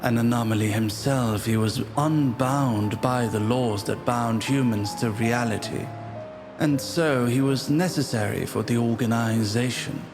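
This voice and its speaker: A man narrating a scripted suspense story in a measured, ominous storytelling manner. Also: mid-range voice. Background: music.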